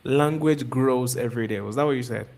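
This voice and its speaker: Guy hosting a live chat, mid-pitch voice, stumbles and restarts a lot, video call. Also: darkish tone. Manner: halting, repeats words and restarts. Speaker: host of a live stream. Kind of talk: interviewing a guest.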